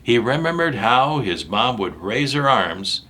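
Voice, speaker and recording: A man reads a children's story aloud with a very lively, swooping delivery. His voice is bright and forceful at a medium pitch, and he stresses key words dramatically while talking straight to the young listeners. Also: a little slow, a little hiss or hum. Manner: dramatic, emphatic, addressing young listeners directly. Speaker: storyteller reading a picture book aloud to children. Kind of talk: animated read-aloud with asides about the pictures.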